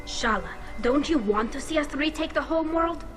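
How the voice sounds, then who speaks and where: A woman's voice: high voice, lively intonation, moderate pace. A woman playing an earnest, defiant young alien in a video game, respectful but pointed. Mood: questioning. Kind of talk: video game dramatic acting. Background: music.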